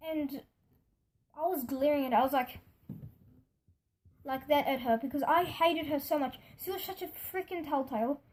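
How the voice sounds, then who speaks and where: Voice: sits high, moderate pace, long pauses. A young person telling stories in a casual vlog style, rambling and indignant, acting out what other people said. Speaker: video storyteller. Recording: music behind the voice. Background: music.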